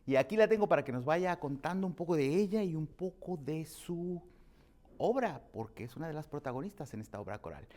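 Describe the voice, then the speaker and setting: A man's voice: fairly high pitch, animated, brisk, a little mellow. A man hosting an interview in a restaurant, asking questions expressively with lots of repeated words. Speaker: talk show host. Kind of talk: hosted interview.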